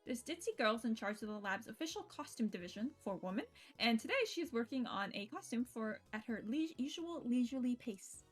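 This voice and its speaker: A woman streamer reading visual novel narration aloud in a playful, expressive storytelling way, with casual asides. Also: a little high, brisk, hardly any pauses. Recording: music behind the voice.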